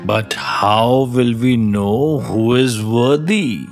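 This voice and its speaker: Cartoon father-in-law , male performer: older, calm, agreeable, very unhurried, music underneath. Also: mid-range voice. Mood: curious. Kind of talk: animated moral story dialogue.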